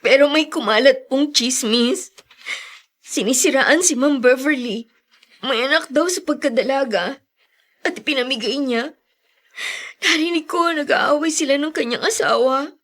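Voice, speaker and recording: An actress playing a sorrowful, apologetic young daughter in a radio drama, speaking in a tearful, pleading way. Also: female voice, sits high, a little slow, long pauses, fairly bright tone. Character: sorrowful, apologetic young daughter longing for her birth mother. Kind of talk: Tagalog radio drama acting.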